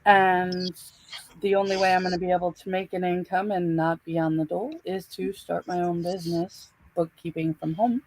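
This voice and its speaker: A woman's voice: lowish voice, fairly even intonation, normal speed, darkish tone, low-quality recording. A woman talking casually on a livestream in a plain, matter-of-fact way.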